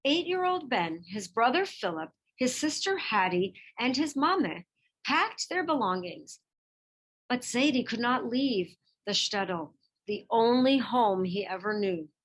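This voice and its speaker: A woman narrates a children's storybook in a fairly high voice. Her delivery is slow and measured, and her pitch moves widely as she stresses key phrases. It is expressive, scripted read-aloud narration. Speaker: reader of a children's picture book biography.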